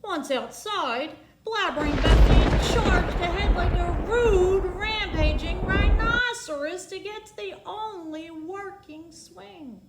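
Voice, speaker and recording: A woman's voice: very high-pitched, takes it slowly, hardly any pauses, very noisy. A woman reading a children's storybook aloud as a library storytime host, theatrical and often putting questions to young listeners. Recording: echoey room.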